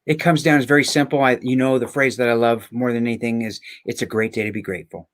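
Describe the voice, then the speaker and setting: A man with a medium-pitched voice talks as a guest in a casual, inspirational conversation. His phrasing is deliberate and emphatic, building through repeated openings. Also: fairly even intonation.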